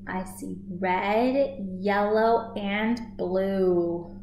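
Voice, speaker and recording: A woman in her thirties reading a picture book aloud for young children as a storytime host, expressive and clearly paced. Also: medium pitch, very slow, a little hiss or hum. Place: small room at home, indoors by a shuttered window. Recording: echoey home room.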